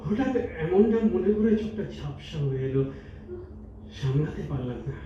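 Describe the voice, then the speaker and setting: A man's voice: a little high, animated, moderate pace, natural voice. A man acting a wistful old husband, echoey. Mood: wistful, tender. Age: older. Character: gentle, wistful old husband moved to tears. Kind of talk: staged audio drama acting. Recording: echoey hall, distant, muffled, faint noise.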